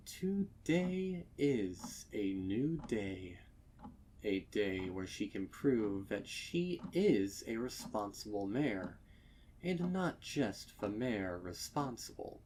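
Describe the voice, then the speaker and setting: A man narrating a dark horror story in a measured, somber, dramatic manner. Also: fairly low pitch, sing-song, a little hiss or hum. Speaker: fan fiction narrator.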